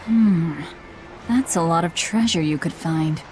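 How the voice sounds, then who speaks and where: A woman's voice: lowish voice, a little slow, darkish tone, soft background music, natural voice. A woman playing a cold young female fugitive in a game, with a curt, blunt manner. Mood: guarded. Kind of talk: dramatic game voice acting.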